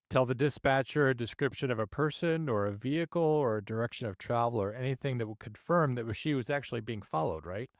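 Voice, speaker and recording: A man presents a case analysis to an online audience in a mid-pitched voice. The sound is dry and close, and he opens his phrases emphatically before easing down at the ends. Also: no echo. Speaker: host, active 911 dispatcher.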